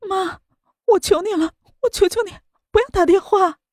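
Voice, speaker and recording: A distraught young daughter pleads in a thin, very high, sobbing voice, put on by a woman reading a storybook aloud. The delivery stays close and dry, with a mellow edge under the strain. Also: moderate pace, no echo. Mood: tearful pleading. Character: tearful young woman begging her mother.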